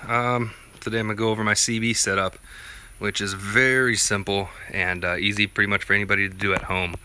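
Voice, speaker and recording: A man with a very bright, forward voice walks through a DIY tutorial in a plainspoken, casual way. His tone stays flat and even throughout. There is some background noise on the handheld recording. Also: lowish voice, brisk. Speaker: vlogger presenting a DIY gear tutorial. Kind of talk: casual unscripted how-to walkthrough.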